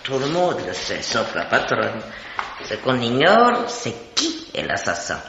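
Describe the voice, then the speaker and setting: A man's voice: medium pitch, huge pitch swings, measured pace, gravelly, noisy background, exaggerated voice. A man playing a knowing, evasive witness with insinuating, theatrical delivery in a 1950s French radio drama. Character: knowing, evasive male witness with sly self-assurance. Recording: dull vintage radio recording.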